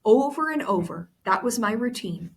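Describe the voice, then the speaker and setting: A woman's voice: a little high, animated, moderate pace, husky. A woman teacher reading a story aloud expressively, with explanatory asides.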